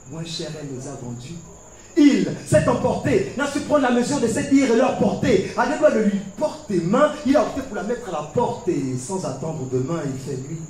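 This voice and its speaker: High-voiced male slam poet in echoey space. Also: darkish tone. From Gabon. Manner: theatrical, rhythmic delivery with deliberate repetitions and dramatic pauses. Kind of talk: slam poetry performance, dramatic spoken-word storytelling. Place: live poetry competition stage. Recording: very echoey, distant mic, faint noise.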